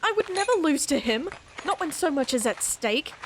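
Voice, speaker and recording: A woman's voice: sits high, sing-song, moderate pace, a little hiss or hum, rough recording. A woman playing a proud, indignant lady knight in a fantasy audio roleplay, haughty and formal with a cutting tongue. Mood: disgusted.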